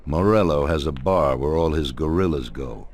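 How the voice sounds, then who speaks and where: An actor playing an older mob boss in a video game cutscene, deliberate, authoritative and paternal, with measured menace. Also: male voice, rumbling low, darkish tone. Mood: composed, commanding.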